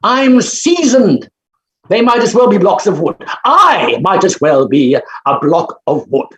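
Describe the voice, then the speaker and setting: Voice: mid-range voice, very animated, slow pace, slight background noise. An overbearing bachelor professor as acted in a play reading, pompous and dismissive. The delivery swings widely and theatrically, with a roomy echo around it. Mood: scornful. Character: arrogant, blustering bachelor phonetics professor, male, overbearing. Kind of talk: staged play reading, stage acting. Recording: roomy, echoing sound.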